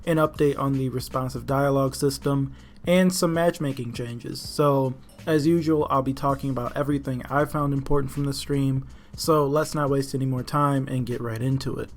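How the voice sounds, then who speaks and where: A man's voice: mid-range voice, fairly even intonation, normal speed. A man giving casual, opinionated commentary as the host of a gaming news video. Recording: music behind the voice. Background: background music.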